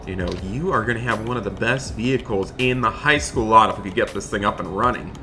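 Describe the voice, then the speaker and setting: A man's voice: lowish voice, fast talker, background noise, lightly acted. A man playing a cheerful, thrifty father in an improvised video game roleplay, chatty and folksy. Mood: enthusiastic. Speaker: gaming video host.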